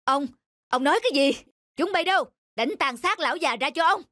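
Haughty, greedy landlord. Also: male voice, extremely high pitch, animated, fairly quick, pushed and bright, no echo, soft background music, heavily acted. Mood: incredulous, angry. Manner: haughty, barking orders. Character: arrogant, greedy rich village landlord, bullying debtors. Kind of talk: cartoon folk tale acting.

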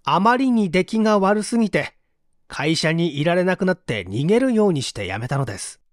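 A man narrating a book as scripted audiobook reading, expressive and clearly articulated. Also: high voice, moderate pace, no echo, studio quality.

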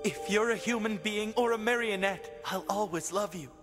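A man's voice: sits high, moderate pace, big-room sound, natural voice. A man playing an earnest, hot-blooded young hero in an English anime dub, speaking with emphatic feeling. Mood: impassioned. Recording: music behind the voice.

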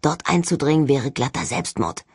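A narrator voicing an argumentative, sceptical young male ghost hunter who pushes back with forceful sarcasm in an audiobook. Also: medium pitch, lively intonation, moderate pace, husky, no echo, studio quality. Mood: indignant.

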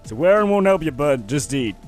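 A man's voice: on the high side, very animated, brisk. A man playing a laid-back, sardonic but protective skeleton fighter in a comic dub, with a teasing drawl. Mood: teasing, irritated. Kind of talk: dramatic comic dub acting. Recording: music behind the voice.

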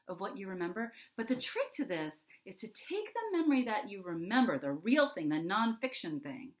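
A middle-aged woman speaking to young children in an expressive, conversational way, with emphatic pauses, as an author reading and explaining. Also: medium pitch. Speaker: children's author reading her book and giving a writing prompt. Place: small indoor home room.

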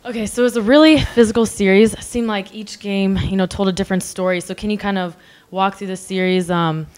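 A woman's voice: medium pitch, steady tone, brisk, a little mellow. An American woman interviewing players and a coach at a post-game press conference, asking polite questions with a few ums. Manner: polite, with um fillers.

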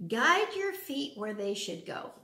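An older woman reading a picture book aloud to young children, pausing for simple questions and chatty asides in a living room. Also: fairly high pitch, sing-song, bright, forceful tone, a little hiss or hum. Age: older, around 70s. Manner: simple, child-directed talk with frequent questions. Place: large open living room with wood floor, indoors. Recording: roomy echo, distant from the mic.

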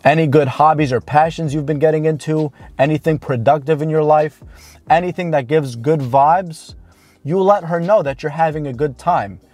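Higher-pitched man, blunt and emphatic. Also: darkish tone. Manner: blunt, informal, emphatic with drawn-out key words. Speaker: dating coach. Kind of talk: direct-to-camera advice talk. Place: inside a parked car. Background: background music.

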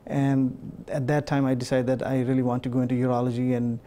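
A middle-aged man from Pakistan, a urologist, answering questions in a studio interview in a measured, explanatory way with many fillers, on a lapel mic. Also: medium pitch, completely flat delivery, mellow. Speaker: urologist guest. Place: television studio set.

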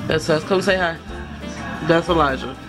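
A hoarse woman in her thirties chatting casually to her vlog camera, talking freely and griping about being sick. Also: low voice, moderate pace, music in the background. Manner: chatty, unfiltered, complains freely. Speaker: vlogger. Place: car, home, then big store aisles. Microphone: phone camera held at arm's length.

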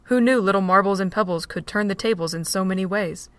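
A woman's voice: mid-range voice, quick pace, no echo. Woman narrating, dry close sound. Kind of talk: storybook narration.

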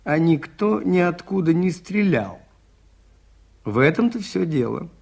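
An actor in a 1980s Soviet radio drama playing a shrewd, mild priest detective who explains things in a measured, polite way. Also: male voice, on the high side, very animated, creaky, a little mellow, exaggerated voice. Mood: calm explaining.

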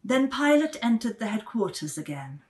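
A woman's voice: on the high side, very animated, moderate pace, a little mellow. A middle-aged woman reading scripture aloud as a formal gospel reader. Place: small stone-walled room at home.

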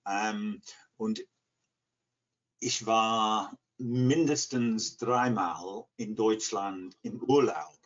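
An English man in his sixties with an English accent, answering interview questions haltingly as he searches for words in a second language. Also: on the low side. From England, near Liverpool. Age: 60s. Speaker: interview guest, language learner. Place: living room at home. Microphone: computer mic on video call. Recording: dull, lo-fi video call.

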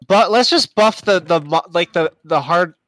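A man's voice: high voice, lively intonation, moderate pace, fairly bright tone, dry recording. A man playing a video game with friends, muttering to himself with swearing and sudden outbursts. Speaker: game player. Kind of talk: casual gaming chat.

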